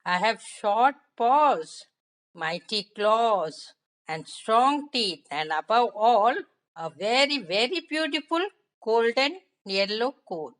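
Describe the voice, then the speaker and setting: Boastful male tiger for a kids' folk tale, performed by a woman: high, emphatic, unhurried. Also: expressive, fairly bright tone, poor audio. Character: proud, boastful male tiger, strong and curious.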